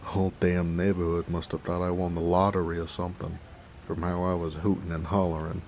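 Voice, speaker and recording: An actor playing a jovial, affectionate big 1920s mobster with an American accent, in a folksy, teasing noir audio drama. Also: male voice, low-pitched, level tone, fairly quick, a little mellow, slight background noise. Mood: warm, teasing. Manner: folksy, teasing, chatty, chuckling. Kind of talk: noir romance audio roleplay, 1920s gangster slang. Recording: faint rain ambience behind voice. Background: rain.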